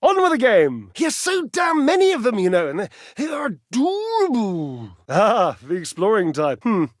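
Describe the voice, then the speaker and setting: Game role: vain, flirty animate dryer. High male voice, wildly swooping intonation, florid wit, theatrical flourish. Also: wildly swooping pitch, moderate pace, dry recording, studio quality, heavily acted. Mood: arch, coy. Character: flamboyant, vain, witty talking clothes dryer, male, wistfully lovesick. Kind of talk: video game character acting.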